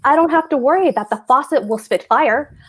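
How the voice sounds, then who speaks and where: Woman playing a pompous, high-voiced fretful husband. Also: expressive, fairly quick, fairly bright tone. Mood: brooding, pompous. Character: fussy, pompous, anxious husband, a government clerk. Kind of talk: cold table read of a stage comedy.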